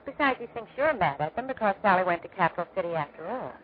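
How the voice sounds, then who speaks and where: An actress playing a middle-aged, gently scheming wife and mother in 1940s radio drama, with a tactful, coaxing manner. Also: medium pitch, lively intonation, fast, a crowd in the background, natural voice. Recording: noisy, tinny 1940s radio recording.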